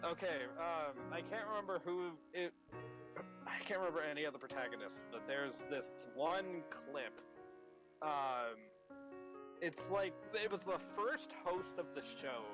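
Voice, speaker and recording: Man as relaxed let's play partner, conversational, animated pitch, game music underneath. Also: unhurried, poor audio. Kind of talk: casual gaming chat.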